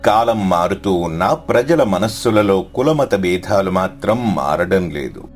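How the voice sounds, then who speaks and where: Male actor as compassionate male god, low voice, solemn, measured, devotional storybook tale. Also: animated, faint music behind. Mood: solemn, resolved.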